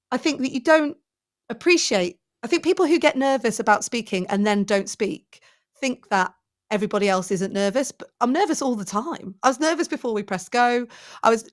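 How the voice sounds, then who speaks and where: A woman in her forties, a presenting coach, talking enthusiastically as a podcast guest and thinking aloud as she goes. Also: a little high. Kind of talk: conversational podcast interview.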